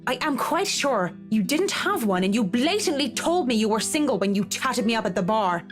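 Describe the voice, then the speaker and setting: A woman performs a firm, indignant young woman in a visual novel. Her voice is fairly high and very animated, stern and emphatic, with guitar music playing clearly behind it. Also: normal speed. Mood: outraged. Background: game music with guitar.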